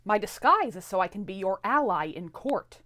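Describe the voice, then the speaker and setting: Woman doing a young fairy prince, soft spoken, courtly n earnest, storybook style. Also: mid-range voice, very animated, normal speed. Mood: earnest, gallant. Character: gallant young fairy prince disguised as a page.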